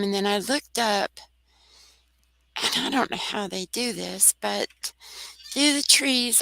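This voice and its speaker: A soft-voiced woman, a guest on a talk radio show, telling a personal story in an earnest, rambling way. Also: medium pitch, gravelly, dry recording, rough recording. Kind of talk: casual storytelling.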